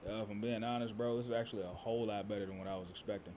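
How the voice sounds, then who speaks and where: Rapper guest, male, deep bassy voice, easygoing slang-heavy chat, faint background hiss. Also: steady tone, racing, darkish tone. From Texas. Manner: laid-back, slangy, keeps saying "you know what I'm saying". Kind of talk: casual interview chat.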